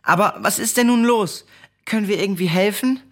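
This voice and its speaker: A woman's voice: medium pitch, a little fast, close to an everyday voice. A woman acting a curious, concerned child in a German children's radio play, candid and questioning.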